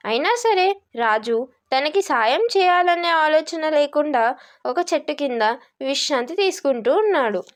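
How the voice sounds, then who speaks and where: High-pitched, slow woman storyteller. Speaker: narrator of children's moral stories. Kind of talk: scripted storybook narration.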